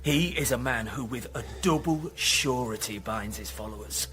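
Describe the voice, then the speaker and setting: An actor playing a loyal, shaken battlefield messenger in a Shakespearean radio drama, speaking formal verse earnestly. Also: male voice, fairly high pitch, lightly acted. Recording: noisy ambience behind the voice.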